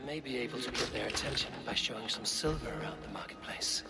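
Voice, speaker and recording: A man playing a stealthy assassin in a video game, thinking aloud in a hushed, deliberate way. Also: mid-range voice, gravelly, over loud music, natural voice. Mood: hushed, calculating. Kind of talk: video game character monologue.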